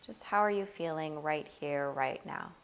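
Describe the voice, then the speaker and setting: A woman leading a guided body scan meditation, giving gentle, unhurried instructions with long pauses. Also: low-pitched, creaky.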